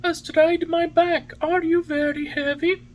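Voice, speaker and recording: A woman's voice: very high-pitched, little pitch movement, a little slow, voice pushed up. A woman voicing a large, helpful male talking pigeon in a children's story, earnest and matter-of-fact. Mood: helpful, calm.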